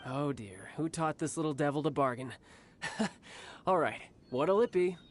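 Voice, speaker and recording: An actor playing a cocky young male warrior and doting older brother in a game cutscene, smooth and teasing. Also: a little high, animated, unhurried.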